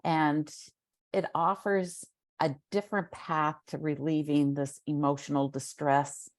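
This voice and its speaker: A woman hosting an interview, asking questions and explaining a topic at a measured pace. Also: low voice, level tone, dry recording. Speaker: interviewer host.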